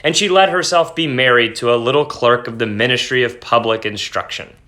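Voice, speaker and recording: Bright-voiced man teaching and reading aloud. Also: mid-range voice, fairly quick. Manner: explanatory, breaks off reading to paraphrase in plain words. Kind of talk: informal lesson talk and dramatic read-aloud of a short story.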